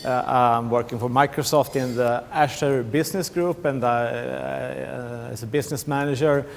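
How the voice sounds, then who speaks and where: A man's voice: medium pitch, fairly even intonation, moderate pace, creaky, slight background noise. A middle-aged man with a Swedish accent gives a conference presentation, restarting phrases and repeating words, often saying "you know". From Sweden. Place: large conference hall. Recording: long hall reverb.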